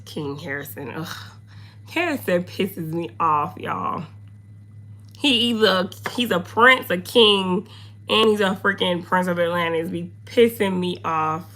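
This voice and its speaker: A young woman with a hoarse voice chatting casually with her viewers while reading their comments. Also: unhurried, fairly bright tone. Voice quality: low, slightly deep voice. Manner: chatty, talks to viewers, drifts off topic. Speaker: makeup streamer.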